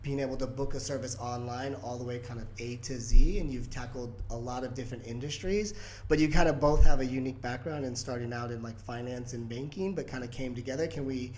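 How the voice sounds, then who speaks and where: A man's voice: mid-range voice, steady tone, brisk, darkish tone, a little hiss or hum. A man hosting an interview, asking loose questions with many fillers. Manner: loose, lots of "kind of". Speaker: host.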